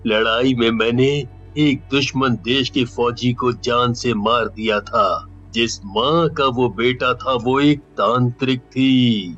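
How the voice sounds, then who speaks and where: A man voicing a weary, cursed ghost soldier in a cartoon story, with a solemn, drawn-out, pleading delivery. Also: mid-range voice, fairly bright tone, highs cut off. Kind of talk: dramatic cartoon story dialogue.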